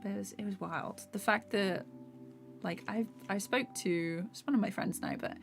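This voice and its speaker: Young female streamer, slightly hoarse, soft rambling chat over faint music. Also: mid-range voice, normal speed. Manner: rambling, filler-heavy, soft-spoken. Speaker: animator streaming while drawing. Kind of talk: casual live-stream chat while working. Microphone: desktop USB microphone. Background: faint background music.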